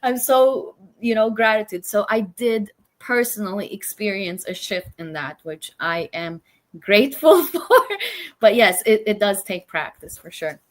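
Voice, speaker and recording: A woman's voice: mid-range voice, animated, a little slow. A woman host from Romania, chatting enthusiastically in a casual interview, with lots of fillers.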